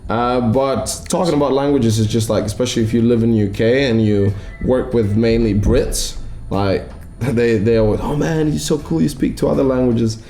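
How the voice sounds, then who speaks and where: A young man from Latvia, chatting on a podcast in a curious, animated way. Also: medium pitch, normal speed, echoey room, soft background music. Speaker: podcast co-host, actor. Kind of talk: casual chat.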